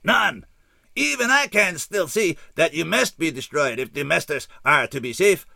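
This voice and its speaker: A shrieking anguished alien giant. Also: male voice, fairly high pitch, animated, moderate pace, creaky, pushed and bright, dry recording, studio quality, pitched above the performer's own voice, exaggerated voice. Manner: stiff formal diction, rising to shrieks. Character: tormented giant male alien, formal and anguished.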